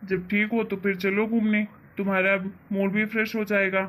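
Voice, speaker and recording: A woman acts out a caring husband from a cartoon family story. The voice sits at a medium pitch for a woman and has a reassuring, coaxing manner. There is faint noise behind it. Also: little pitch movement, moderate pace, a bit bright, lightly acted. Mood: encouraging. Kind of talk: cartoon family drama voice acting.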